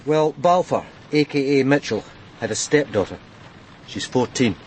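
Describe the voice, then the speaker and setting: A man's voice: mid-range voice, expressive, normal speed, slight background noise, lightly acted. Male actor as police detective, Scottish accent, dry, dogged, radio crime drama, slight background sound. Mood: matter-of-fact.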